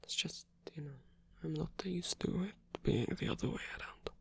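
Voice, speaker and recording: A performer voicing a flirtatious but flustered, tender male rock frontman in an intimate ASMR romance roleplay, speaking in a hesitant, halting, husky whisper. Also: medium pitch, fairly bright tone, no echo, heavily acted. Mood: playful, shy.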